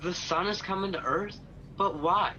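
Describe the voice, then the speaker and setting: A man's voice: high voice, normal speed, bright, forceful tone. A man playing a restless, doubting angel in a radio play, questioning and discontented. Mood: surprised. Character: restless young male angel, doubting and eager for action. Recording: muffled lo-fi video call recording with music.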